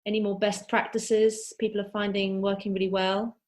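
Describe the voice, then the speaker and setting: A woman's voice: mid-range voice, flat delivery, moderate pace, darkish tone. A woman with a mid-range voice runs the question session of an online teaching webinar. She talks in a friendly, loose way, filling gaps with ums as she reads out questions. She sounds like she is on a video call mic. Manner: friendly, loosely paced with fillers. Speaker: webinar moderator. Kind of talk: moderating an online Q&A.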